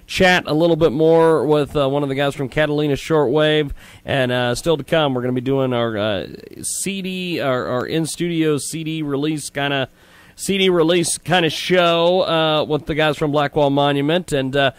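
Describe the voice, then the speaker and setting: A man hosting a live radio show, introducing guests and segments with frequent pauses. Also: fairly high pitch, clear, resonant tone, no room sound.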